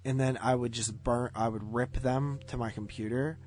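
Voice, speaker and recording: A man's voice: medium pitch, fairly even intonation, moderate pace, a little mellow. A man co-hosting a movie podcast in casual review chat, slangy and blunt, with some mild swearing. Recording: guitar music playing behind the voice.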